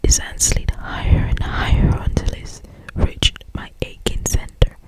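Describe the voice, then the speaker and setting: Slow, breathy ASMR whisperer. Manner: slow, lingering phrasing with repeated words and asides. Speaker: ASMR creator reading aloud. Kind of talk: ASMR whispered romance novel reading.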